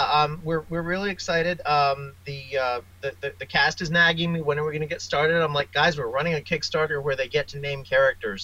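A man's voice: a little high, bright, forceful tone, muffled recording. A man giving casual interview answers as a guest author, rambling and hesitant in his delivery. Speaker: interview guest, author and audio drama producer.

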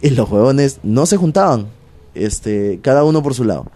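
A man's voice: mid-range voice, moderate pace. Slangy Peruvian man in casual interview. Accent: Peruvian Spanish. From Peru. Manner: animated, slangy, many fillers. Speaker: pro wrestler guest.

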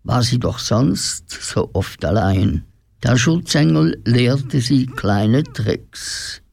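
A man's voice: medium pitch, expressive, drawn-out words, gravelly, a little mellow, slightly rough. A man narrating a scripted radio drama as its storyteller.

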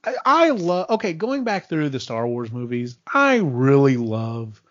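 A man in casual fan conversation as a podcast host, animated, acting out quotes and swearing freely. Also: mid-range voice, unhurried, dry recording, dull audio. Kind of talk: casual chat recapping and discussing films.

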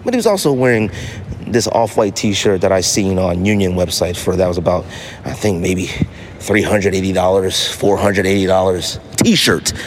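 A man's voice: sits low, moderate pace, slight background noise. An American man hosting a casual solo podcast, talking in a slangy, emphatic way and repeating phrases for effect. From United States. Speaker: podcast host.

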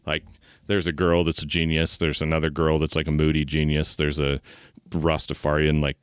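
A man co-hosting a podcast, talking casually in an informal, halting way full of filler words. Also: a bass voice, completely flat delivery, smooth, no echo, clean studio sound. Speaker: podcast co-host. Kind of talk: casual chat.

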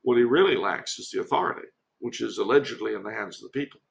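A man talking conversationally as a learned guest commentator on history, in a discursive, halting way. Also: mid-range voice, animated, vocal fry. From United States. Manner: discursive, erudite, halting delivery. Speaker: podcast guest, author and commentator.

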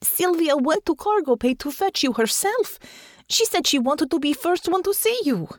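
A woman voicing a blunt but caring foreign woman, speaking deliberately and dropping small words. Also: mid-range voice, very animated, no echo, studio quality, voice pushed up. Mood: exasperated. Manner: slow, deliberate, dropping articles.

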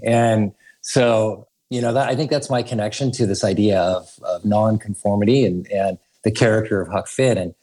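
A man's voice: fairly low pitch, warm tone. A man raised in Idaho, guest on a podcast, speaking earnestly and thoughtfully with frequent restarts. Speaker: school research director, guest. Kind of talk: podcast interview.

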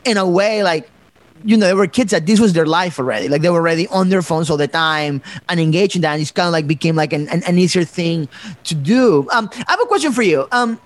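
Host from Ecuador, high male voice, thoughtful and halting, very dry recording. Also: expressive, dry recording. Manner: reflective, many fillers and restarts. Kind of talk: conversational podcast talk.

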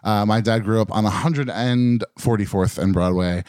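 A man from Westchester County, New York, hosting a casual group podcast conversation and asking questions in a relaxed, chatty way. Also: fairly low pitch, moderate pace, clear, resonant tone, no room sound, clean studio sound. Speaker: podcast host. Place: indoor room.

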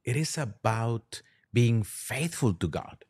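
A man's voice: medium pitch, animated, slow, darkish tone, breathy edge. A man, a priest appearing as a podcast guest, talking conversationally about religion and earnestly restating his points.

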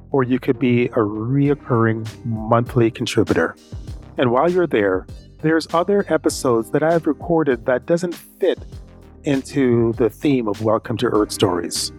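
Mid-pitched male podcast host. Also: a little slow, darkish tone, faint music behind. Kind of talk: podcast hosting and scripted outro.

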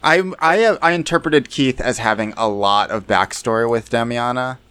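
A man in an improvised comic discussion, talking in a skeptical, halting, argumentative way. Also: mid-range voice, pushed and bright, clean tone, no echo.

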